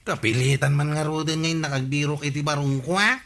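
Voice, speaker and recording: An actor playing a reluctant, grumbling villager in a comedy radio drama, with an Ilocano accent. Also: male voice, a little high, a little fast, a bit bright, lightly acted.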